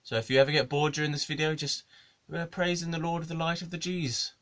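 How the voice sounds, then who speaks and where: A man's voice: on the high side, fairly even intonation, fast, fairly bright tone. Rambling jokey man. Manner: jokey, digressive, swears, laughs at his own jokes. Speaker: musician and video maker. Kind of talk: rambling comic monologue to camera.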